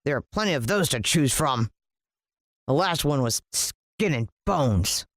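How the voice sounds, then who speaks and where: A woman's voice: very deep voice, expressive, a little slow, no room sound, clean studio sound, heavily acted. A woman voicing a gluttonous, cruel bearded man in a children's storybook, blustering and demanding as he bellows and mutters. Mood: scheming, gleeful.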